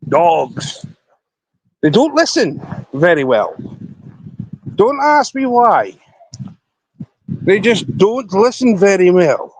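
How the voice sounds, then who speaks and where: A man's voice: sits high, big pitch swings, slow pace, long pauses, no room sound, poor audio. A Scottish man chatting to viewers on a casual live stream outdoors by a river, rambling as he talks into his phone. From Scotland. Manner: rambling, chatty, talking to viewers while walking.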